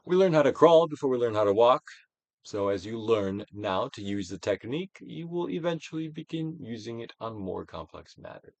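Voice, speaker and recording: A man giving a dramatic, deliberate reading of a self-help book as a guided exercise, with pauses and big swells. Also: mid-range voice, lively intonation, vocal fry, no room sound. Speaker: audiobook reader and actor.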